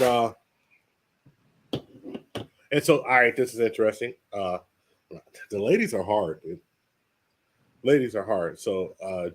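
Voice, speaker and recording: A man's voice: medium pitch, a little hiss or hum. An older man interviewing a guest on a casual podcast, rambling and stammering through his questions with a joking manner.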